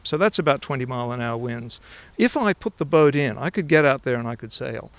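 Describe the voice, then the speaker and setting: Australian man, 40s, gravelly voice, outdoors at a dock, keen and chatty. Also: medium pitch, lively intonation, fairly quick, dry recording. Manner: enthusiastic, restarts sentences. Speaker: interviewee, river sailor. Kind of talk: casual outdoor interview.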